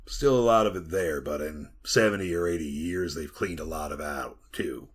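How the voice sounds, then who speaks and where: An actor playing a weathered, folksy Montana copper miner who rambles to a listener in a retro radio drama monologue. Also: male voice, a bass voice, normal speed, husky. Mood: folksy, wry. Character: weathered bearded Montana copper miner, 34, haunted yarn-spinner.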